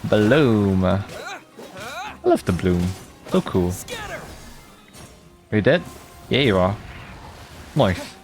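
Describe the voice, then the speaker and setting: Casting: male streamer, lowish voice with animated swings, casual slangy reactions over gameplay. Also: very slow, pauses often. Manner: exclamatory, slangy. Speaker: streamer playing and reacting to a game. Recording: game music faintly behind.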